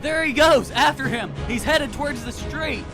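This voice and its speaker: A woman playing an urgent, shouting police officer on a chase in a radio drama. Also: very high-pitched, sing-song, normal speed, low-quality recording. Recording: loud music and crowd, car noise.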